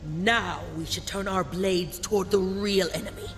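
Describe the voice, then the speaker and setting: An actor playing a proud, honor-bound alien warrior captain in a video game, stern and declamatory. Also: male voice, high voice, steady tone, normal speed, a bit bright. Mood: proud, earnest. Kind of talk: scripted game voice acting. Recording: long reverb, faint music behind.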